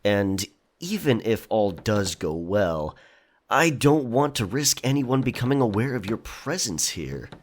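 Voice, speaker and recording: An actor playing a roguish, protective pirate in an audio drama, speaking in a measured, dry, politely threatening way. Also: male voice, fairly low pitch, sing-song. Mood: dry, insistent. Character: roguish male pirate, politely menacing, protective, dryly teasing.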